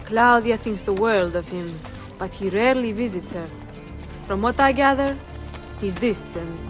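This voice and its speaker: A woman's voice: medium pitch, a little slow, soft background music, close to an everyday voice. Actress as coy, flirtatious Italian townswoman. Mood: admiring. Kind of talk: video game cutscene acting.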